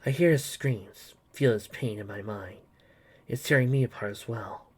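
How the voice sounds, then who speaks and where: A man voicing a solemn, empathic, mystical female superhero in a measured way. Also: mid-range voice, breathy edge, natural voice. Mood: pained resolve.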